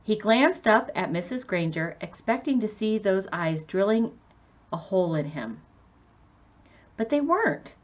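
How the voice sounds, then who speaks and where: Lady reading a kids chapter book out loud, pitch moves around a lot, kinda dull lo-fi audio. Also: lively intonation, measured pace. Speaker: read-aloud narrator. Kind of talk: children's book read-aloud, scripted narration.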